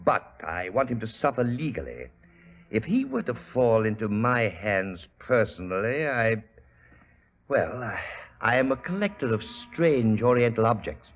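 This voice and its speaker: An actor playing an imperious, wealthy industrialist in an old radio mystery, speaking formally with coldly courteous menace. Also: male voice, on the low side, very animated, unhurried. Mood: menacing. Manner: smooth-talking, formal, menacing. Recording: dull, hissy 1940s radio recording.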